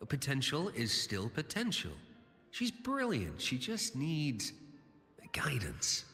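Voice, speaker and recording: A man playing a measured, encouraging academy mentor in a video game. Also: mid-range voice, huge pitch swings, sharp tone, full character voice. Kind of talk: video game side-character acting. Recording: long hall reverb, music behind.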